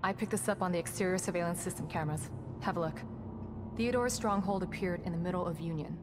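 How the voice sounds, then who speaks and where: An actress playing a composed, earnest scientist ally in a video game, speaking in a measured, sincere and practical way. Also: female voice, on the low side, lightly acted. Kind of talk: cinematic video game acting. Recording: music under the dialogue. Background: music.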